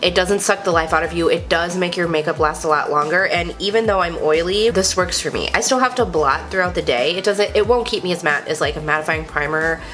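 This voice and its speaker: A woman's voice: fairly low pitch, fairly quick, hardly any pauses, a bit bright, soft background music. A young woman, congested from a cold, chatting casually and gushing about makeup with lots of "like" fillers. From Texas. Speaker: beauty vlogger. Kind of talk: casual chatty product review.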